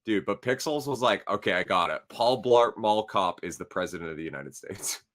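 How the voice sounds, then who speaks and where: A man's voice: fairly low pitch, lively intonation, a little fast, fairly bright tone. A man in his twenties, co-hosting a casual gaming podcast and telling stories in loose slang, laughing often. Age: 20s. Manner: laid-back slangy storyteller, laughs often. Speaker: podcast co-host.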